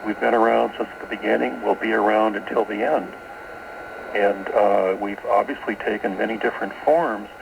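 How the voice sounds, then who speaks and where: A man's voice: on the low side, steady tone, moderate pace, creaky, background noise. A man being interviewed as a guest author on a radio show, explaining esoteric ideas and often repeating words as he goes, taped from a shortwave broadcast. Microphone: radio broadcast, taped off air.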